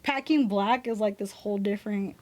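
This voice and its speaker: A woman's voice: mid-range voice, fairly quick, darkish tone, slight background noise. A woman tattoo artist, a podcast guest, telling stories against herself in a laughing, profane, casual way. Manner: laughing, self-mocking storytelling with swearing.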